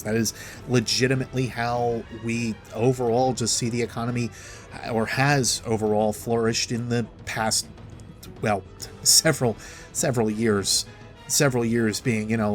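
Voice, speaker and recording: A man delivering an opinionated, wordy monologue as a gaming commentator, talking in a semi-improvised way. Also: medium pitch. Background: background music.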